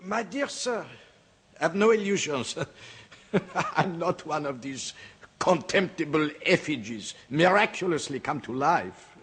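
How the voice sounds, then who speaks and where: An actor playing a suave, sinister French doctor in a radio horror drama, with a French accent, a husky voice and over-polite manners. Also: male voice, a little high, expressive, fairly bright tone, full character voice. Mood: smugly explaining. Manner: unhurried, over-polite, hesitating delicately. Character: suave, sinister French doctor, a fugitive killer, mockingly courteous. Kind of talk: old-time radio horror drama acting.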